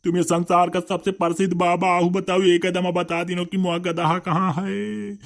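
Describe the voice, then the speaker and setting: A flattering village fellow acted by a man speaks in a Bundelkhandi accent. His cartoon voice is high for a man and stays very flat in tone, plain and deferential. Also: normal speed, creaky. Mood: deferential, eager. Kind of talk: rustic comedy cartoon dialogue.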